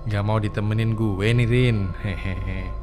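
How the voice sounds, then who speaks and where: Suara seorang pria sebagai pemuda pendaki yang iseng, bernada rendah dan lembut, dengan musik samar di belakang. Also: male voice, lowish voice, steady tone, unhurried, a little mellow, faint music behind, natural voice. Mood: teasing. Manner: mocking, joking. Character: teasing young male hiker friend. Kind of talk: animated horror story voice acting.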